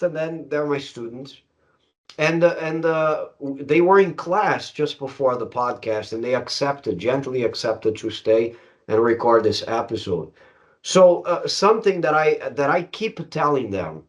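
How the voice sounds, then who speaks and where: A middle-aged man from Brazil, a native Portuguese speaker, hosting a podcast in English with an emphatic, storytelling way of talking. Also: a little high. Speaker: podcast host; English teacher. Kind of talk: conversational storytelling and interviewing.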